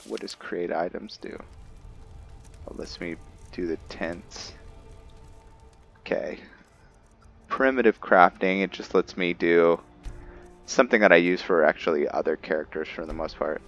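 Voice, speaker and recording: A man giving casual commentary on his roleplay game, thinking aloud in a halting way. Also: low voice, creaky, fairly bright tone, highs cut off. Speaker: gamer narrating a roleplay playthrough.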